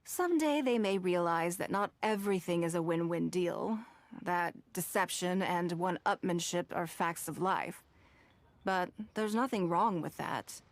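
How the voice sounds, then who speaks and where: Brief: composed noblewoman for a game, lowish voice, measured, formal, quietly authoritative and protective. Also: dry recording. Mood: reflective, assured. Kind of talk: video game voice acting.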